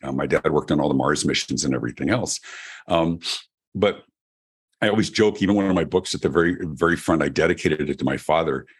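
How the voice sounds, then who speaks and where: A middle-aged man from Denver with a deep voice, telling his story as a guest on an interview show. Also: fairly quick, slightly rough. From Denver, Colorado, USA. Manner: animated storyteller, chatty with restarts. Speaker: keynote speaker and guest. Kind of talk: podcast interview.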